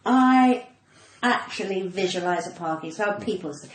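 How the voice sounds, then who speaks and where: A woman's voice: mid-range voice, moderate pace. Cut-glass Englishwoman in a roomy space. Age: middle-aged. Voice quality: cut-glass, well-spoken voice. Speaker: podcast guest, comedian. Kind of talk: casual conversational anecdotes. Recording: roomy echo, light background noise.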